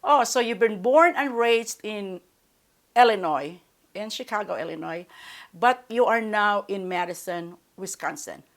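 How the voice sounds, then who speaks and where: A woman's voice: medium pitch, a little slow. Woman hosting an interview. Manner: formal, announcer-like introductions with slips. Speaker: interview show host.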